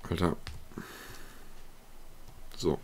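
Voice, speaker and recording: A man's voice: very slow, a little mellow, slight background noise. A young man commentating a survival game, swearing often and yelping when startled, slightly ill and coughing. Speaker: let's play gamer. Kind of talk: casual gameplay commentary.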